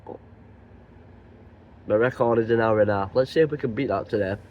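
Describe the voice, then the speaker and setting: Croaky-voiced man chatting from a truck cab. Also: fairly low pitch, brisk, highs cut off, slight background noise. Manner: rambling, chatty, many restarts. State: has a cold, croaky voice. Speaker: truck driver vlogger. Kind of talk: casual vlog chat while driving.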